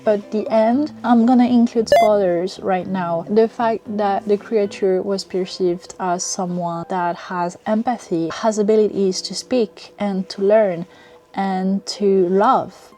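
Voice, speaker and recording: A woman's voice: mid-range voice, unhurried, warm tone, soft background music. A young French woman with a French accent, casually rambling and frank as she reviews books and films from a cluttered room at home. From France. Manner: rambling, frank, laughs often. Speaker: book vlogger.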